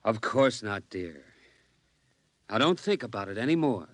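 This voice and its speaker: An actor playing a middle-aged American husband and father who calmly reassures and dismisses fears in a 1950s radio drama. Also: lowish voice, unhurried. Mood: soothing. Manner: calm, placating, patronizing. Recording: restored 1950s radio broadcast.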